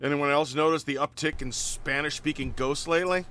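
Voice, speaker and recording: Man playing a bright, brash wisecracking ghost hunter. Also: on the high side, fairly even intonation, brisk. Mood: needling. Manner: cocky, joking, punchy. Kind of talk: audio drama acting.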